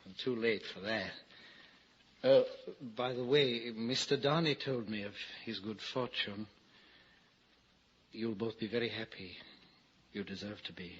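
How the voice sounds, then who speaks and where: An actor playing a morose, self-loathing English gentleman in an old-time radio drama, with a brooding, halting, theatrical delivery. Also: fairly low pitch, breathy edge. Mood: subdued, self-reproachful. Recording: dull, noisy vintage radio recording.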